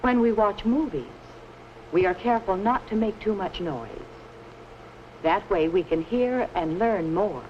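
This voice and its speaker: A woman's voice: mid-range voice, animated, a little hiss or hum, natural voice. A woman playing a patient 1950s schoolteacher in an educational film, phrasing things carefully and instructively, on a muffled old soundtrack. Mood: patient explaining. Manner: measured, didactic, carefully phrased. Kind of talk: scripted educational film acting.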